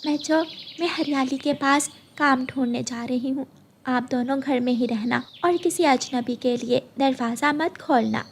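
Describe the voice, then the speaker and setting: A woman's voice: high-pitched, measured pace, slight background noise. A woman voicing a kind, protective mother sparrow with an earnest manner in a children's cartoon. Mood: caring.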